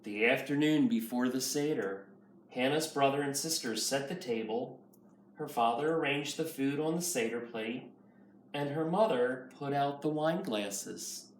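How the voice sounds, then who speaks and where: A man's voice: mid-range voice, measured pace. A man reading a children's picture book aloud as a nursery school teacher. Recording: roomy, far from mic, faint noise.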